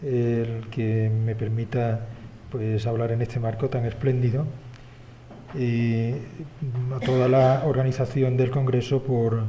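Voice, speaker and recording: Measured Spanish man giving a lecture. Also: medium pitch, flat delivery, dark tone, dull audio, a little hiss or hum. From Spain. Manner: measured, with frequent "eh" pauses and tag questions. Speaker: historian giving a conference talk. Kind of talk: academic lecture. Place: university conference hall.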